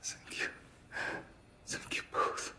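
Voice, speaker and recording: An actor playing a frightened, hesitant injured male patient in a period TV drama. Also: slow pace. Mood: fearful. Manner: fearful, hesitant, soft-spoken.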